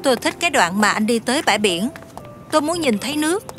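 Woman playing quick-talking, naive young alien girl. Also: fairly high pitch, big pitch swings, fairly bright tone, soft background music. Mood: curious, wondering. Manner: blunt, innocently literal. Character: naive young female alien wish-granter, earnest, curious, impatient. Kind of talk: dubbed fantasy film dialogue.

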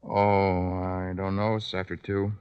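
An actor playing a desperate, hot-tempered young fencing champion in a 1950s radio drama, halting and tense. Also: male voice, low-pitched, level tone, clean tone, lo-fi sound. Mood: weary, evasive.

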